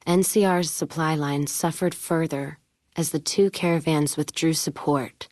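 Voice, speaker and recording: Tough wasteland caravan lady for a game, low voice, blunt n sardonic, real dry close sound. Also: steady tone, a little slow, a little mellow, no room sound. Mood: solemn, reflective. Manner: blunt, sardonic, hard-bitten. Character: tough, foul-mouthed, whiskey-drinking woman caravan owner of the wasteland. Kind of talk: video game character voice lines.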